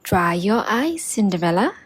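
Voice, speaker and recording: Woman playing fairytale fairy godmother, kindly, commanding, unhurried, lilting delivery. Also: mid-range voice, very animated, slightly rough. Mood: kindly, purposeful.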